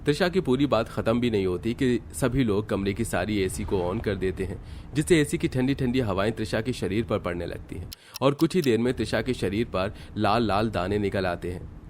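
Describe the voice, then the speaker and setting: A man giving scripted narration for an animated family story. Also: fairly low pitch, moderate pace, slight background noise.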